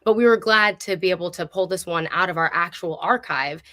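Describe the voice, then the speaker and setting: A woman's voice: fairly low pitch, brisk, fairly bright tone. A woman speaking as host of a live online show, presenting and interviewing in an upbeat, enthusiastic way.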